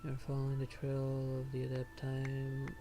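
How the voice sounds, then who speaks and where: A man's voice: mid-range voice, completely flat delivery, normal speed, music in the background. A man streaming a game, reacting with blunt, sarcastic asides. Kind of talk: casual reactive game commentary.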